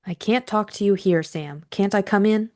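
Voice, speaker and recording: A woman's voice: mid-range voice, moderate pace, warm tone, pitched above the performer's own voice, natural voice. A woman voicing a jealous, nervous mistress in an audiobook detective story, pleading and complaining insistently. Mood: pleading, urgent.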